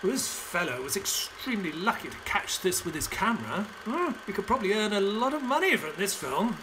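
A genial detective's sidekick and narrator in an audio drama. He tells the story earnestly with very lively intonation. Clear ambient noise sits behind the voice. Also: high voice, a little fast. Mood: inquisitive. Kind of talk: audio play narration and dialogue.

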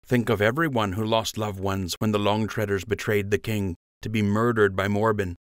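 A man's voice: lowish voice, steady tone, normal speed, clean tone, dry recording, clean studio sound, natural voice. A man playing an earnest, intense young male rabbit archer in an audiobook. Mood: indignant.

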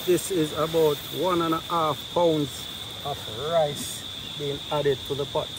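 A man's voice: fairly high pitch, fairly even intonation, unhurried. A man with a Jamaican accent giving casual commentary as host of an outdoor camping vlog. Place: outdoors at a riverside campsite. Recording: noisy outdoor recording with insect ambience. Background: insects and outdoor ambience.

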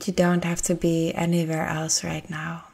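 A woman narrating a guided meditation in a gentle, soothing, unhurried way with long pauses. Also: lowish voice, monotone, gravelly, breathy edge.

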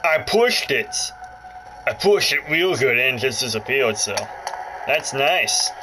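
Jokey male gamer with lively pitch. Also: a little slow, low-quality recording. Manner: rambling, jokey, self-interrupting. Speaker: gaming video host. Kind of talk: casual let's play commentary. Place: indoors, about a foot from the handheld console. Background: game music from the console speaker.

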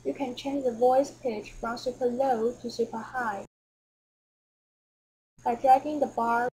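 A woman reading scripted narration for a software tutorial. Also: on the high side, steady tone, a little slow, breathy edge, low-quality recording.

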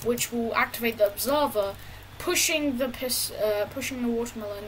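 A woman with a fairly high voice talks through a gameplay tutorial in a loose, unscripted way, stumbling over fillers and restarting her sentences. A faint hiss sits under the voice-over. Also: rough recording. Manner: halting, many fillers and restarts. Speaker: gaming tutorial creator. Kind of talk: casual walkthrough over gameplay.

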